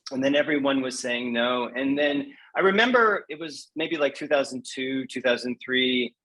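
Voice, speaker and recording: A man speaking as an interview guest, telling his own story in a candid, self-deprecating, conversational way with animated quoted asides. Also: mid-range voice, normal speed, poor audio. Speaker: guest poet.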